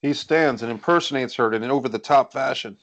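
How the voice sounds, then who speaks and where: A man's voice: mid-range voice, fairly quick, fairly bright tone. A man reading stage directions as scripted narration for a screenplay over a video call. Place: indoors on a group video call.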